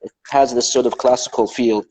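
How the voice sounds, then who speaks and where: A man hosting a remote interview, speaking in a thoughtful, measured way with many fillers. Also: mid-range voice, steady tone, dark tone, slight background noise, low-quality recording. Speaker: host. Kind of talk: conversational interview.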